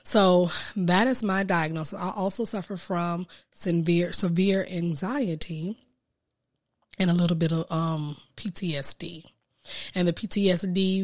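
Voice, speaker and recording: A woman with a fairly low voice hosts a casual podcast, audibly stuffed up and nasal from a cold. She talks slowly and earnestly, filling pauses with soft ums. The sound is dry and close, with no room echo. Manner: slow, earnest, with frequent ums and soft asides. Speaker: podcast host and business coach. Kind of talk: casual solo podcast talk.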